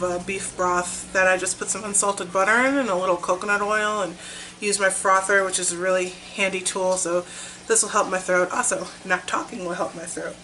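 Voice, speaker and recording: Bright-voiced woman losing her voice. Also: medium pitch, slight background noise, poor audio. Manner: chatty and upbeat, with fillers. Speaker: vlogger. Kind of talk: casual weight-loss vlog.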